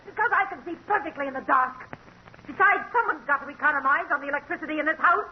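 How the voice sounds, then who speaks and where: An actress playing a nagging, whining middle-aged wife in a vintage radio drama, scolding and grumbling with contempt. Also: female voice, high voice, fast talker, natural voice. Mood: grumbling, irritable. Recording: muffled, noisy old radio recording.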